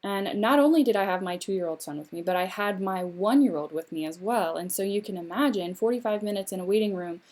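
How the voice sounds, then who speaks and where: Young woman, mother, telling a personal story as a spoken interpretation; measured, phrase-by-phrase pacing. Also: medium pitch, a little mellow.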